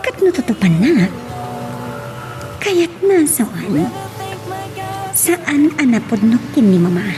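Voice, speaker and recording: High-pitched, hot-tempered scheming daughter. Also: female voice, big pitch swings, slow pace, long pauses. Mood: brooding, scheming. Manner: agitated, emphatic. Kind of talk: radio drama acting. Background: music.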